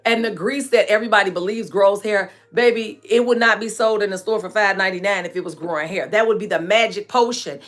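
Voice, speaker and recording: A woman in her forties hosting a live hair care stream, chatting frankly with viewers and laughing often, her voice a bit hoarse and dry. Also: medium pitch, fast talker, hardly any pauses, fairly bright tone. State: hoarse and dry voice from staying up late. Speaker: natural hair care host and product maker. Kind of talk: live-stream chat answering viewer comments.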